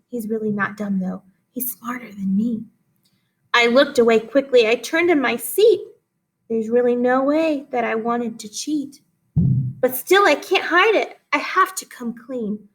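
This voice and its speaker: A woman's voice: high voice, a bit bright, rough recording. A woman teacher leading a read-aloud lesson for children, explaining and guiding listeners in an instructive way. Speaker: teacher reading poems aloud for pupils.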